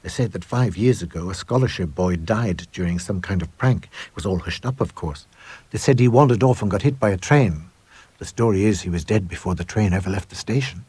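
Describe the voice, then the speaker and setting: A man's voice: low-pitched, a little fast, darkish tone, husky, no echo. A man voicing a small, nervous, chatty schoolboy in an audiobook ghost story, eager and uneasy. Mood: gossipy, fearful. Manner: eager, uneasy, boyish exclamations.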